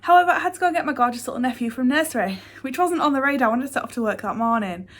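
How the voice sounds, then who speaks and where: A woman's voice: high-pitched, animated, racing. A young woman talks casually to her camera, stuffed up with a cold. She rambles and restarts, dropping frequent ums as she catches up on her reading and her busy week. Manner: rambling, chatty, self-deprecating, with many "um"s and restarts. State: congested with a cold. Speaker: book vlogger. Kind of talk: casual chatty vlog to camera.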